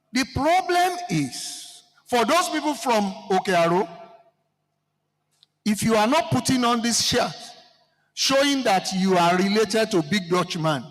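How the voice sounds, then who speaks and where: A Nigerian man with a Yoruba-speaking accent speaks high and unhurried, throwing rhetorical questions at the crowd. His voice rings through the long reverb of a large hall. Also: a bit bright. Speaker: seminar participant speaking to the floor. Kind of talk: impassioned address to a seminar audience.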